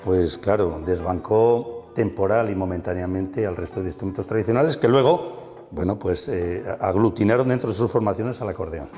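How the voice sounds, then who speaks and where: A man's voice: deep voice, moderate pace, mellow, long reverb tail, faint music behind. A middle-aged man guiding an interview through a museum, enthusiastic and full of fillers and tag questions. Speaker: musician and museum guide. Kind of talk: explanatory interview chat. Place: museum room.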